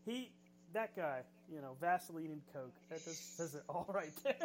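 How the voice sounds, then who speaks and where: A man's voice: a little high, animated, moderate pace, a little hiss or hum. A middle-aged man co-hosting a casual chat, laughing often and swearing casually. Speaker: podcast co-host. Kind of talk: casual chat about wrestling.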